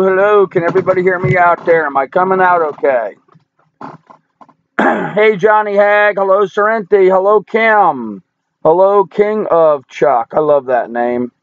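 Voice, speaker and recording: Hoarse older man, chatty stream host. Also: fairly high pitch, phone-quality sound. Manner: chatty, greets viewers by name, folksy. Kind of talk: casual live chat reading viewer comments. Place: home in rural Alaska.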